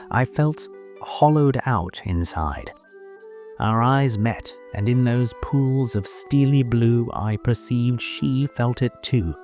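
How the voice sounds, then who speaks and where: A man playing a wistful young noble heir, telling his story in measured, formal first-person narration for an audio drama. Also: medium pitch, a little mellow, natural voice. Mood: wistful, regretful. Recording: music under the voice. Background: music.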